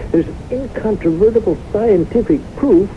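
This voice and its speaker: An actor playing a devoted, reassuring, enthusiastic husband in the style of a radio drama. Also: male voice, high-pitched, normal speed, natural voice. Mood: reassuring, excited. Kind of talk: 1970s radio drama acting. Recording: muffled, noisy old radio broadcast.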